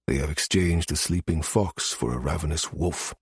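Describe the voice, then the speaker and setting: A man voicing a guarded, dutiful state security minister in a science fiction audiobook, calm and measured. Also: extremely low pitch, slightly rough, no echo, studio quality, pitched below the performer's own voice, full character voice. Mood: grim, private.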